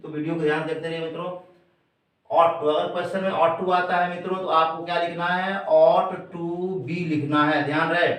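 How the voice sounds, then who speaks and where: A man with an Indian accent, a native Hindi speaker, teaching grammar like a classroom lesson in a deliberate, repetitive way, addressing learners as friends. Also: a little high, steady tone, fairly bright tone. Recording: echoey room, distant microphone.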